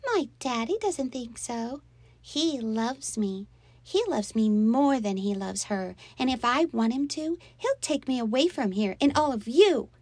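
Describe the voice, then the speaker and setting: A woman's voice: a little high, lively intonation, measured pace, a little mellow, no echo, pitched above the performer's own voice. A woman voicing a fierce, spiteful and tearful little girl in an audiobook story. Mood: defiant. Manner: screaming, sobbing, threatening.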